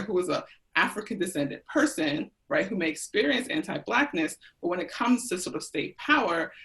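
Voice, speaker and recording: A woman's voice: on the low side, fairly bright tone. American woman interviewer, thinking aloud. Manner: thinking aloud, frequent tag questions. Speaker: host, interviewer. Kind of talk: casual thoughtful conversation.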